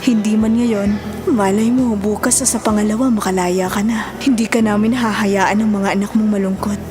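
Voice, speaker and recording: A woman plays a devoted middle-aged Filipino mother, gentle and forgiving. Her medium-pitched voice is earnest and tender, with strong drama music behind it. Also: steady tone, moderate pace, lightly acted. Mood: forgiving, consoling. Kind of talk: melodramatic drama acting.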